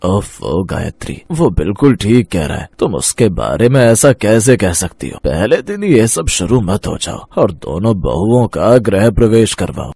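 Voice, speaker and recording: A man's voice: lowish voice, very animated, a little slow, vocal fry, hardly any pauses, warm tone, slightly rough, no echo, heavily acted. A man playing a fair-minded older father-in-law in a cartoon story, firm and admonishing. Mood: reproving. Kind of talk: Hindi cartoon moral story acting.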